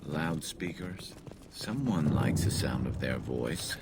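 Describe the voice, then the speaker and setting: Very deep, gravelly, wry squadmate, man. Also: animated, slow, heavy background noise, exaggerated voice. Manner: dry, understated. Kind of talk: video game character acting. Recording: loud music over the voice.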